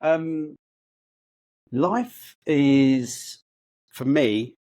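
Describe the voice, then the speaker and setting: A man's voice: on the high side, extremely slow, a little mellow, no room sound. A man in his fifties, raised in the UK, talking as a podcast guest in an upbeat, reflective way, with many hesitations and restarts. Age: 50s. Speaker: guest, housing organisation chief executive. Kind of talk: conversational podcast interview.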